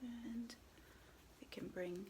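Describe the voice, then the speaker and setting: A woman with a stuffy nose from a cold, calmly talking through a step-by-step tutorial and often dropping to a soft whisper. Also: a little high, a little hiss or hum. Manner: calm, unhurried, often drops to a soft whisper. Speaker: head wrap tutorial host.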